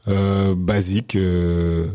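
A deep-voiced man in his forties from Senegal, talking casually and hesitantly, with many pauses and restarts. His tone is dark and mellow, and the sound is dry and close. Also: little pitch movement, no room sound. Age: 40s. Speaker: podcast guest, business consultant. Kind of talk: casual interview conversation.

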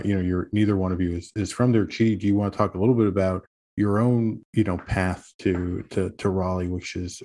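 Male host from the Bronx, very deep monotone, easygoing interviewer. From the Bronx, New York. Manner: relaxed, conversational, asks leading questions. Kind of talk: casual interview.

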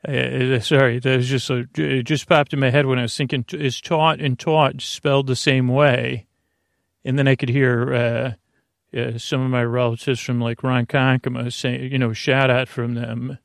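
A man with a creaky voice hosting a sleep podcast, giving a meandering, soothing bedtime monologue that halts and drifts off mid-thought. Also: mid-range voice, little pitch movement, no room sound, clean studio sound. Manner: soothing, lulling delivery; digressive, halting, trailing off mid-thought.

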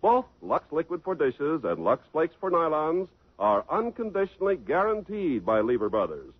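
A man announcing and reading a hearty sales pitch on a 1950s radio broadcast. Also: big pitch swings, moderate pace, husky, no echo. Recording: tinny old radio broadcast.

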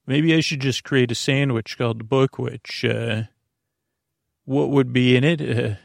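Creaky-voiced man, meandering and halting. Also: medium pitch, steady tone, no room sound, studio quality. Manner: meandering, halting, self-interrupting, trailing off. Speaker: podcast host. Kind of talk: rambling bedtime podcast monologue.

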